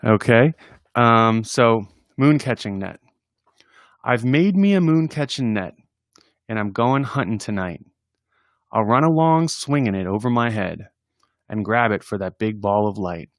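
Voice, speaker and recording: A man's voice: on the low side, expressive, a little slow, no echo, studio quality. A man reading humorous children's poems aloud in an informal, chatty, amused way, as a story-time presenter. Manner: informal, chuckling, chatty asides between readings.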